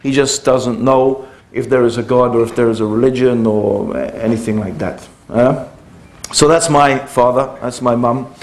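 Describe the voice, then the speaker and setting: A man's voice: mid-range voice, normal speed. A man in his fifties, telling the story of his upbringing in a loose, conversational public talk, with frequent fillers and restarts. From United Kingdom.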